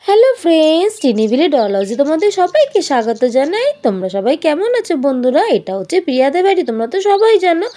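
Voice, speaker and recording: A woman's voice: sits high, sing-song, fast talker, hardly any pauses, mellow. A woman hosting and narrating a children's doll-play story, addressing viewers as friends in a sing-song storytelling way. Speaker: narrator and host.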